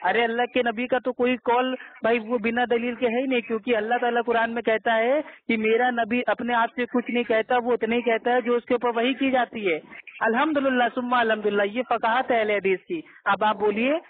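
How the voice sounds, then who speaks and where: Male debater, strikingly high pitch, pushy and repetitive in argument, heard down a narrow telephone line. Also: level tone, fairly quick. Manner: insistent, argumentative, repeats himself. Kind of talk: heated religious debate on a call. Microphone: phone call. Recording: tinny phone conference line.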